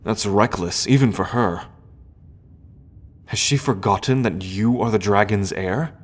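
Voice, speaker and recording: Man acting as deep-voiced earnest young prince. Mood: grave, concerned. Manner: formal, deliberate, sighing. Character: earnest, conflicted prince, son of a scheming queen. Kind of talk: audio roleplay drama acting.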